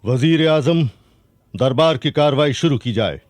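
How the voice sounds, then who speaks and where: An actor playing a commanding Sultan and doting father in a historical radio drama, speaking in a regal, measured, declamatory way. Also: mid-range voice, lively intonation, dry recording, clean studio sound, lightly acted. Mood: regal, fond.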